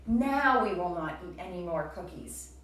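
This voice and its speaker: A woman's voice: lowish voice, lively intonation, normal speed, fairly bright tone. A woman voicing a kindly male storybook frog who reasons earnestly with his friend. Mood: resigned. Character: kindly, sensible male frog, patient friend preaching willpower. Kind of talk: children's storybook read-aloud. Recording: roomy, distant, faint background noise.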